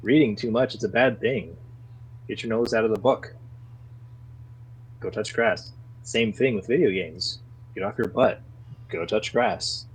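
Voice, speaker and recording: A man talking casually as a podcast guest, earnest and getting animated when he defends his views. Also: lowish voice, a little slow, pauses often, breathy edge, poor audio. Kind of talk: casual conversation.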